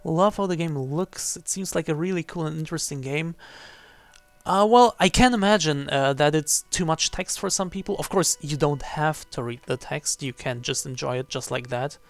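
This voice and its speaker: A man's voice: fairly high pitch, normal speed, dry recording. A man giving casual livestream commentary on a game, rephrasing himself as he goes. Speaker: game streamer. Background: faint game music.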